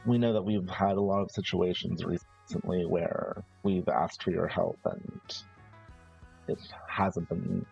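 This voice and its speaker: A man's voice: low-pitched, flat delivery, unhurried, creaky, a little mellow, faint music behind. A man playing a commanding, reassuring member of a powerful family, speaking formally and persuasively in a tabletop game. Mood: persuasive, measured. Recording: slightly dull online stream audio.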